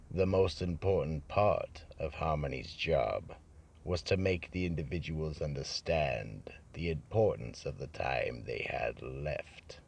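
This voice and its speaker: A man playing a brooding vault keeper, narrating a horror radio drama in a slow, ominous, theatrical manner. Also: very deep voice, gravelly, breathy edge, heavily acted. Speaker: horror anthology host and narrator.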